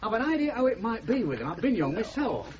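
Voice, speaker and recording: Middle-aged Northern English working man: blunt, kindly, animated pitch, dull vintage radio drama recording. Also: fast talker, crowd noise. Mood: sheepish, kindly. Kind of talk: 1970s British radio drama acting. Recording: dull old radio recording, faint noise.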